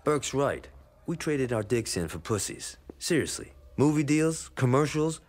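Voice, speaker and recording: An actor playing a blunt, hot-tempered gang enforcer, gruff and sarcastic, in a video game cutscene. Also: male voice, mid-range voice, big pitch swings, a little slow, a little mellow. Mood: disgusted, sarcastic.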